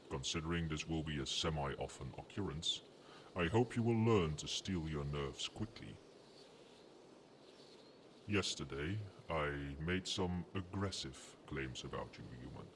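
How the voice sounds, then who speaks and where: A man voicing a proud, possessive dragon with formal, haughty, measured speech in an ASMR roleplay. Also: very deep voice, expressive, a little hiss or hum, exaggerated voice. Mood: softened, proud. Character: proud, imperious male dragon, possessive but grudgingly protective.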